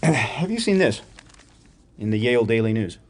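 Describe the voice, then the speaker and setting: An actor playing a wry, probing academic research professor in a radio drama, with a dryly sardonic, precise way of talking. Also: male voice, mid-range voice, sing-song, moderate pace, lightly acted. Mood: probing, persuasive. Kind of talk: radio theatre acting, dramatic dialogue.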